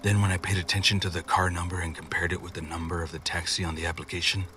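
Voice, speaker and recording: A man's voice: sits low, flat delivery, fast talker, sharp tone, far from the mic, slight background noise. A man narrating a scripted first-person scary story as a horror storyteller.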